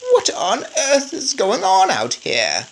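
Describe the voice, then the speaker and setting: A woman voicing a loving, shaken old grandmother who speaks in a slow, drawn-out murmur, in a storybook reading. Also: sits high, wildly swooping pitch, gravelly, slight background noise, voice pushed up, full character voice. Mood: alarmed.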